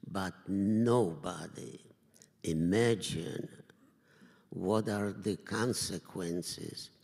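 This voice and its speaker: An older man from Poland, giving a survivor's testimony in slow, measured, halting phrases. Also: low voice, creaky, darkish tone, breathy edge. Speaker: Holocaust survivor speaker. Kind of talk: personal testimony talk. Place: synagogue hall. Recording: reverberant hall.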